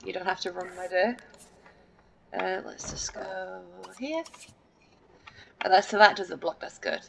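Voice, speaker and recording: A woman's voice: lowish voice, measured pace, creaky, slight background noise. A woman casually narrating her life-sim gameplay, rambling with many fillers and restarts, and poking fun at herself. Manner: self-deprecating, lightly laughing. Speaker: let's play gamer.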